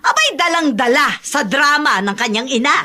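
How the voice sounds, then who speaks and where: A woman's voice: high voice, expressive, normal speed, pushed and bright, faint music behind. A middle-aged woman playing a protective, scornful mother in a Tagalog radio drama, fussing and exclaiming expressively. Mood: indignant.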